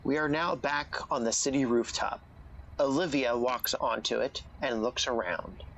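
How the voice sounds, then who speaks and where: A man's voice: mid-range voice, a little slow, a bit bright, poor audio. A man playing the narrator of an audio drama, reading scripted scene descriptions. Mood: measured.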